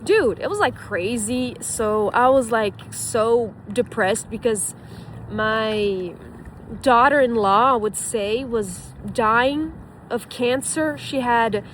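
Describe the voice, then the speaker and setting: A woman's voice: fairly high pitch, slow, a bit bright, a little hiss or hum, rough recording. A woman from Brazil with a Brazilian Portuguese accent, a former physician telling her story in a rambling, casual way full of fillers and restarts.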